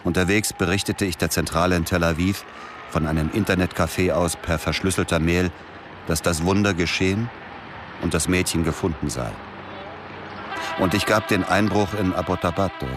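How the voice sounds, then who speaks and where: An actor playing a weary, grieving middle-aged former soldier in a radio drama, speaking in a subdued, understated way. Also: male voice, low-pitched, moderate pace, close to an everyday voice. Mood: sober narrating. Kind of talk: radio drama dialogue and first-person narration. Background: music under the voice.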